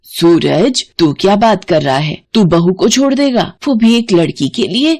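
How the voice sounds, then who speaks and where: A woman's voice: mid-range voice, animated, measured pace, a little mellow. A woman voicing an elderly Indian mother-in-law in a family storybook tale, firm and plain-spoken, stern but protective. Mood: indignant.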